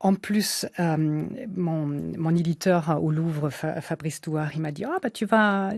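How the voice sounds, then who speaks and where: A woman speaks in a radio interview, her voice pitched low. French is not her first language, and she hesitates often, restarting phrases as she searches for words. The studio sound is dry and close. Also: unhurried, darkish tone, no room sound. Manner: hesitant, many fillers and restarts. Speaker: author and illustrator guest.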